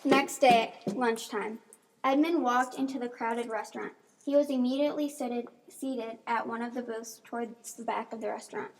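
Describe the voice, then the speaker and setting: Woman narrating an amateur radio mystery from a script, highish voice. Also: fairly even intonation, moderate pace, a little hiss or hum, rough recording.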